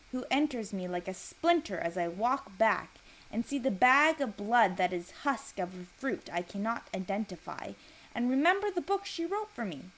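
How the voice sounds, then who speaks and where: Woman reading a story out loud, medium pitch, deliberate and expressive, leans on words for drama. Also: low-quality recording. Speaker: reader of a literary piece. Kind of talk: literary reading, first-person narration.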